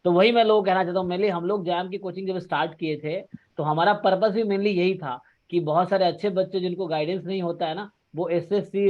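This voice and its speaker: A man from Bihar interviewing a former student on a video call, talking in a lively, explaining way and mixing in English words. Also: sits high, muffled recording. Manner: animated, explains in a mix of Hindi and English. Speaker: interviewer and coaching teacher. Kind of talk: casual conversational interview.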